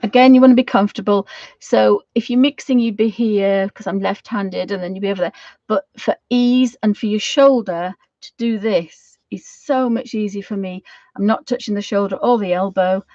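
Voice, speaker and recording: A middle-aged British woman with a slightly croaky voice, giving a casual craft tutorial in a rambling, homely way, thinking aloud as she goes. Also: medium pitch, normal speed, warm tone, dry recording, highs cut off. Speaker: watercolour craft tutor hosting a live session.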